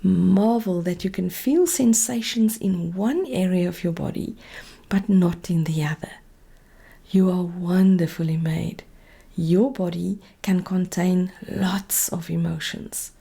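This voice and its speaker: Slow, husky, breathy woman guiding meditation. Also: fairly low pitch. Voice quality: soft, breathy, often near whisper. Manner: calm, deliberate, gently directive. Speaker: meditation coach. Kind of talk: guided meditation, slow soothing instruction.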